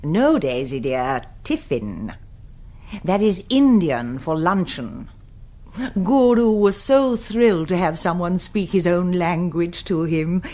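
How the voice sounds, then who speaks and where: A woman's voice: fairly low pitch, sing-song, slow, warm tone. An actress in a radio comedy playing an affected, sweetly scheming society lady, gushing and pretentious, with an upper-class English accent. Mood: sweetly smug. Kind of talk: radio comedy drama acting.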